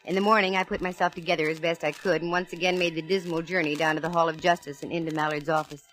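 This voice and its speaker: Woman playing a low-voiced wisecracking private eye. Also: flat delivery, quick pace, close to an everyday voice. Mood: wry narration. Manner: wry, knowing, punchy. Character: wisecracking, cool, sassy female private eye. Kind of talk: 1940s radio detective drama, first-person narration and dialogue. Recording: faintly noisy vintage radio recording.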